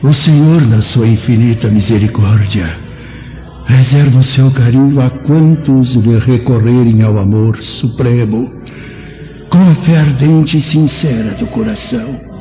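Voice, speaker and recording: A man's voice: mid-range voice, measured pace, natural voice. A man playing a wise, kindly old apostle, fatherly and preacherly, in a solemn radio drama. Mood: soothing, solemn. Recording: muffled old radio drama, music behind.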